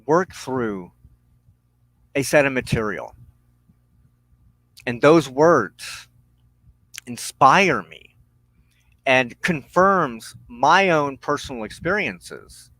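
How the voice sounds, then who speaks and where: Male religious broadcaster, mid pitch, solemn and halting, unhurried teaching delivery in a dry room. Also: expressive, a bit bright, no echo, poor audio. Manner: solemn, deliberate, halting phrasing with pauses. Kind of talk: spiritual teaching monologue.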